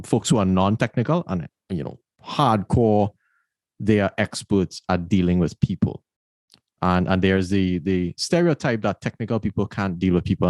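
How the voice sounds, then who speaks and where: A man's voice: low-pitched, darkish tone, no room sound. A man hosting a podcast interview, telling stories in a rambling, chatty way. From Trinidad and Tobago. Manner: storytelling, rambling with restarts. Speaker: podcast host. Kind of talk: casual interview chat.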